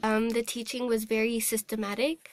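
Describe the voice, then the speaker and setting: Young female trainee from Hong Kong, higher-pitched, conversational, thoughtful with some hesitation. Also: level tone, moderate pace, a little hiss or hum. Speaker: voice-over trainee. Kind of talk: casual interview answers. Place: recording studio.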